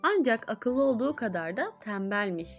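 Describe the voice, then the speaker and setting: A woman reading fairy tales to children in an expressive storyteller's style. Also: a little high, normal speed, gravelly, faint music behind. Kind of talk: expressive scripted fairy-tale narration for children.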